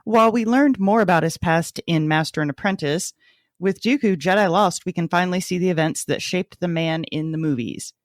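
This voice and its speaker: A woman with a slightly low voice co-hosts a book discussion podcast. She speaks conversationally, and the sound is close and dry, with very little room in it. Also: a little fast, no room sound. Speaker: podcast co-host. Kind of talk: casual book club discussion.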